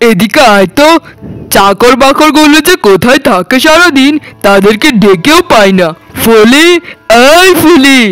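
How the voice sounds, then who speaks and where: A man's voice: extremely high pitch, a bit bright, smooth, dry recording, full character voice, low-quality recording. A man voicing a worried, authoritative landlord and father in a cartoon, solemn and commanding. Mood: irritated. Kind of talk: cartoon voice acting.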